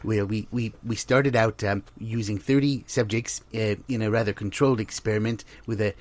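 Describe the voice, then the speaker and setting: A man's voice: on the low side, steady tone, normal speed, close to an everyday voice. A man playing a flustered, apologetic academic researcher, stammering formally through an improvised comedy interview. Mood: flustered, hesitant.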